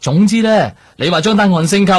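A man's voice: sits high, brisk, slightly rough. An actor playing a weary, grumbling male police superior in a radio drama, speaking Hong Kong Cantonese with frequent sighs. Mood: exasperated. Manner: sighing, grumbling, animated Cantonese officer.

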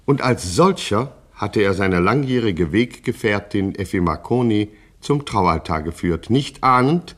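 An actor playing a bombastic storyteller, declaiming a mock-heroic gangster tale as radio narration. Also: male voice, lowish voice, expressive, a little slow, few pauses. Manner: declamatory, grandiose.

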